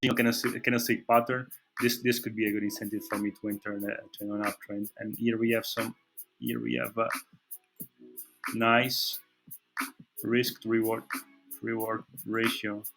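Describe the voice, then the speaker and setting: Portuguese-accented man, hesitant and low-pitched. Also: little pitch movement, moderate pace, vocal fry, pauses often, fairly bright tone, faint music behind, low-quality recording. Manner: hesitant, many fillers and restarts. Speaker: trading channel host. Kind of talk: screen-share market analysis.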